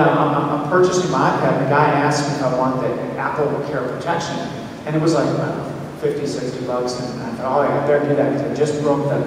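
A middle-aged man from Minnesota, preaching a conversational sermon with animated storytelling and frequent restarts, pacing the stage. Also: on the high side. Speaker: pastor. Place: large church hall, indoors. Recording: echoey hall recorded from far off with background noise.

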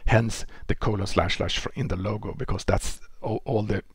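A man with a Swedish accent explains a technical topic at an easy pace, voice slightly husky and pitched fairly low. He talks into a headset, the sound close and dry with no room around it, restarting phrases as he thinks. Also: moderate pace, no echo. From Sweden. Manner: relaxed explaining, with frequent restarts and fillers. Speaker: presenter. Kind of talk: technical lecture. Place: indoor room.

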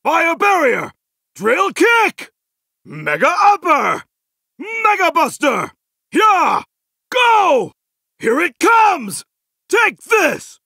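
Very high-pitched goofy, over-eager game hero. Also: male voice, wildly swooping pitch, very slow, vocal fry, pauses often, sharp tone, no room sound, studio quality, full character voice. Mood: battle fury. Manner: over-eager, exclamatory, cheesy heroic delivery. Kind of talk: video game battle barks.

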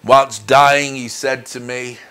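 A middle-aged man giving a personal testimony as a minister, speaking in an earnest, deliberate, measured way. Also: medium pitch, flat delivery, pushed and bright, clear, resonant tone. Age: middle-aged or older. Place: indoors in front of a dark curtain. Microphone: lapel mic.

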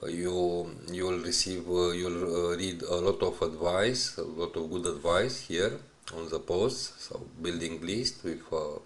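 A man who is a non-native English speaker, talking haltingly and searching for words as he narrates an unscripted website walkthrough. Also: deep voice, steady tone. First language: not English. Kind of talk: unscripted screen-recorded walkthrough.

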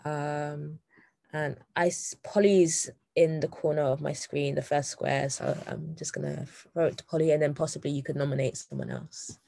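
A woman's voice: sits low, steady tone, normal speed, gravelly, mellow, no room sound. A woman facilitating a community meeting over a video call, speaking with frequent hesitations. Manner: hesitant, many ums.

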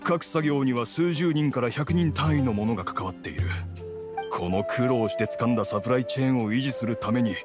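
A man playing a middle-aged, resigned merchant and father in an anime game, with a measured, persuasive manner. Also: mid-range voice, music in the background, lightly acted. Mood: grave, persuasive. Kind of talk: Japanese anime game voice acting.

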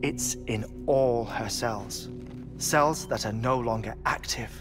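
An actor playing an earnest, grave middle-aged scientist in a video game, speaking in a measured, precise, expository way. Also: male voice, mid-range voice, natural voice. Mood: grave, purposeful. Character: bespectacled scholarly male scientist, earnest and grave. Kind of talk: scripted cinematic game acting. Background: music.

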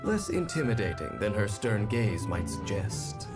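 A man reading a scripted explainer about a game character in a polished, measured delivery. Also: fairly low pitch, big pitch swings. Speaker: video narrator. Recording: loud music behind voice. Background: game trailer music.

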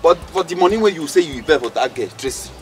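A man's voice: on the high side, lively intonation, fast, soft background music, natural voice. A man playing a hot-tempered, scolding friend in a drama, with a Nigerian accent and a blustering, streetwise manner. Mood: angry, scolding. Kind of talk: comedy drama acting in Nigerian Pidgin.

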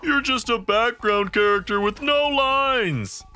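High, cocky schoolboy bully played by a man. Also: takes it slowly, pushed and bright, smooth, faint music behind, exaggerated voice. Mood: mocking. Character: mocking, cocky teenage delinquent schoolboy bully. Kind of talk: manga dub character acting.

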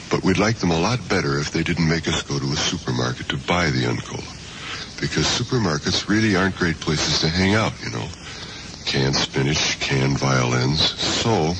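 A man reading a tongue-in-cheek 1970s radio commercial in a deadpan, conversational way, on a noisy old AM aircheck. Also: rumbling low. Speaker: commercial announcer.

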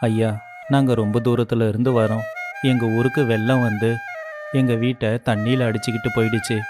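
A man's voice: mid-range voice, little pitch movement, brisk, natural voice. A man playing a poor, humble villager in a storybook cartoon, earnest and devout. His voice sits in the middle range, and flute music runs beneath it. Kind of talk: animated storybook voice acting.

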